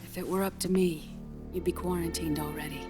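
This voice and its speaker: Woman acting solemn, low-voiced female game scientist. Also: steady tone, over music, close to an everyday voice. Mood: somber, bitter. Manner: measured, explanatory, solemn. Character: grave female scientist delivering a grim diagnosis. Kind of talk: dramatic video game cutscene acting.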